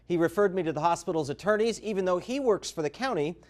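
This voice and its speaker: A male investigative reporter narrates a scripted TV news story in a high-pitched voice. His phrasing is emphatic and deliberate. The sound is dry, with no room echo. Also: lively intonation.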